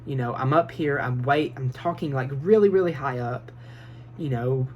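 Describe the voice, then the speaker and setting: A man giving advice in a casual phone vlog from his bedroom, talking nervously and quickly, rambling with lots of fillers and restarts. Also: mid-range voice, a little mellow, a little hiss or hum. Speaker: vlogger. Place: small bedroom. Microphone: phone built-in mic, no microphone.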